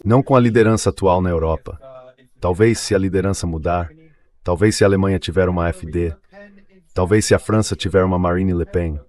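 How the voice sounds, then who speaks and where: A man's voice: low-pitched, a little fast, darkish tone. A synthetic male voice, dubbing a political commentator in discussion.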